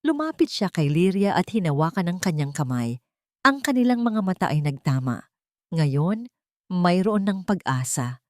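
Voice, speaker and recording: A woman's voice: lowish voice, very animated, moderate pace, no echo, studio quality. A woman with a Filipino accent reading a scripted dramatic love story as an audiobook narrator.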